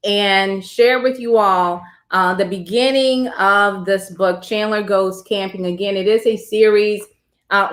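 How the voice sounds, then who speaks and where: Female host, casual and conversational, average pitch, roomy echo. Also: a bit bright. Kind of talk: casual event hosting.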